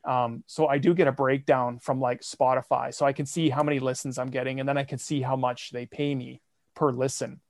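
A man's voice: mid-range voice, fairly quick, dry recording, clean studio sound. A man guesting on a podcast, talking casually and chattily about making music at home. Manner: relaxed and chatty, with frequent "like" and light laughs. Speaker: guest, comedy fiction podcaster and songwriter. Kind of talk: casual interview chat.

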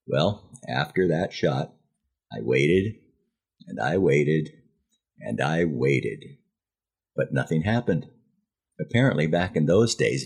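A man's voice: low voice, creaky, a little mellow, husky. An American man in his seventies telling a first-person memoir as a podcast host, in a wry, self-deprecating way that acts out bits of dialogue. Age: 70s.

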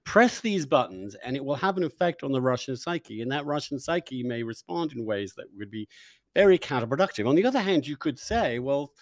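A man hosting an informal interview, thinking aloud haltingly with repeated phrases and casual swearing. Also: medium pitch, big pitch swings, no echo. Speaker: interview host. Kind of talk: informal, opinionated interview conversation.

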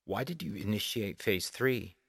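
Holographic executive played by a male narrator: deep, dry, clipped and coldly formal, seething behind a frozen smile. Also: normal speed, a bit bright, no room sound. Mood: stern.

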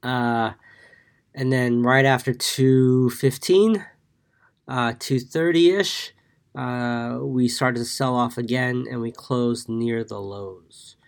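A man's voice: mid-range voice, steady tone, slow. A man hosting a market podcast, congested from a cold, giving a casual daily recap with many fillers while reading numbers from his notes. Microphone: podcast mic.

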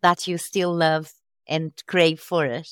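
A woman hosting a podcast interview, asking slow, thoughtful questions about writing children's books. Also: deep voice, steady tone, clear, resonant tone, dry recording, studio quality. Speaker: podcast host, children's author.

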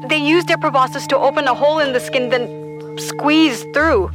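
A woman's voice: sits high, moderate pace, music in the background, natural voice. An actress playing an uneasy, grimly urgent female lab assistant in an audio drama. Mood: grim, appalled.

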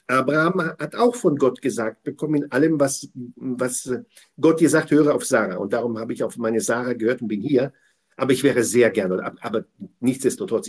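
A man's voice: mid-range voice, a little mellow, slightly rough. A man talking as a guest on a weekly Torah portion show, emphatic and digressive, often repeating his phrases. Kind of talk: effusive conversational talk.

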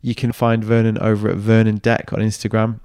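Male podcast host, lowish smooth voice, chatty, upbeat banter. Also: monotone, a little fast, a little mellow, no echo, clean studio sound. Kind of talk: casual chat and solo wrap-up.

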